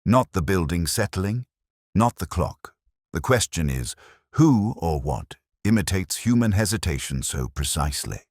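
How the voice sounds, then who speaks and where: A man voicing a calm, commanding Victorian detective in an audiobook story, speaking in measured, terse aphorisms. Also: sits low, no echo, studio quality, exaggerated voice. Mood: intent, musing.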